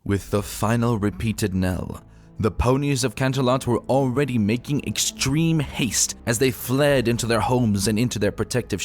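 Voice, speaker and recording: A man with a fairly low voice narrates a scripted story in a solemn, theatrical way. His delivery is that of a dramatic reading. Music plays behind his voice. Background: background music.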